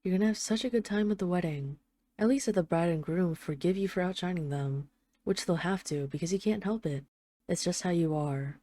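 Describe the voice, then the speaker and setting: A tough, possessive female mafia boss played by a woman leaves intimate voicemails in an audio roleplay. Her voice is on the low side and very soft-edged and dark, recorded close and dry. She is sweary and halting, yet tender toward the lover she addresses. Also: no room sound, natural voice. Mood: fond, affectionate.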